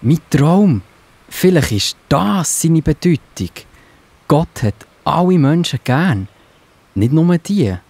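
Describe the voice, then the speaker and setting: A man's voice: mid-range voice, a little mellow, husky, no echo, full character voice. A man playing the apostle Peter in a children's audio drama, musing and pondering aloud. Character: Peter, thoughtful kindly bearded apostle man.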